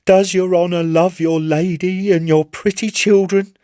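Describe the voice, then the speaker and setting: A man's voice: sits high, steady tone, unhurried, warm tone, no room sound, clean studio sound, voice pushed up. A man voicing a terrified young ship's carpenter in a storybook reading, pleading desperately and fervently.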